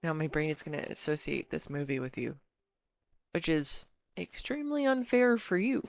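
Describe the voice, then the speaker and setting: Slow, low-voiced bashful girlfriend, acted by a woman. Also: creaky, no echo, exaggerated voice. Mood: flustered, playful. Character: earnest, bashful, talkative girlfriend rambling affectionately. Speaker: audio roleplay voice actor. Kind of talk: intimate audio roleplay monologue to the listener.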